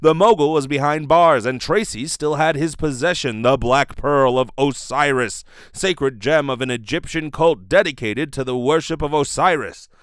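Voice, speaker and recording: A man's voice: a little high, moderate pace, smooth, no room sound. A man in his thirties narrating a radio play dramatically, close on a studio mic. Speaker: host and performer. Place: small bedroom. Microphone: close studio mic with pop filter.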